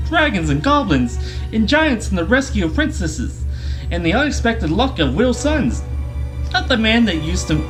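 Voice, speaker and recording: A man voicing a fussy, excitable, polite hobbit homebody in a storybook reading, stammering and chattering primly. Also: high voice, pitched above the performer's own voice, rough recording. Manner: flustered stammering, prim and chatty.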